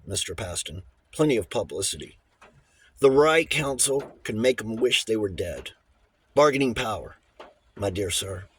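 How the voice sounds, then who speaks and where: A man's voice: medium pitch, husky. A man voicing a little old bachelor lawyer in an audiobook, formal and precise, shifting between diffidence and relish for a fight. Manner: hesitant stammers giving way to precise, emphatic pronouncements.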